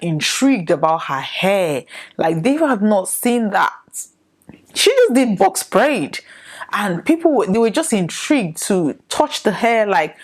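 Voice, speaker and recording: Nigerian woman musing aloud as host. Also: mid-range voice. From northern Nigeria. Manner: reflective, asks many rhetorical questions. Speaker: podcast host. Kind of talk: solo opinion commentary to camera.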